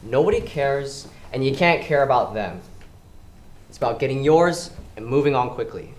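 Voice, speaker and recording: A man's voice: medium pitch, animated, moderate pace, reverberant, natural voice. A man in a stage play acting as a persuasive criminal schemer, coaxing and commanding in a pointed way. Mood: pressing, coaxing. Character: smooth, persuasive male criminal schemer recruiting a reluctant worker. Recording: noisy live stage recording.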